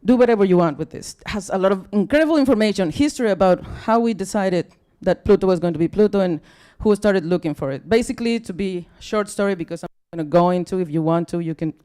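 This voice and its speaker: Young Mexican woman, Spanish accent, English second language; tired, chatty, apologetic, mellow voice. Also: medium pitch, fast, few pauses. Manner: chatty and apologetic, with many fillers and restarts. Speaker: guest speaker at a science talk night. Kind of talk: casual, self-deprecating live talk. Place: bar or event room with a live audience.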